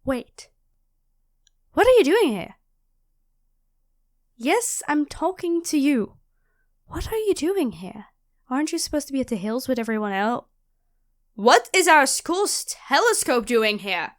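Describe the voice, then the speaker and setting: A woman playing a nerdy, chatty young student in an audio roleplay, expressive and flustered, often apologizing and correcting herself. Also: high voice, a little slow, long pauses. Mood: startled, flustered. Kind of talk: audio roleplay acting addressed to the listener.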